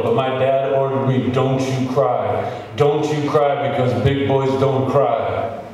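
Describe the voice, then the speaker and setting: A man's voice: mid-range voice, darkish tone. A man reading poetry aloud as a spoken-word performance, impassioned and emphatic, with incantatory repetition. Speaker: poetry reader. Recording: very echoey reverberant hall, distant mic, noisy.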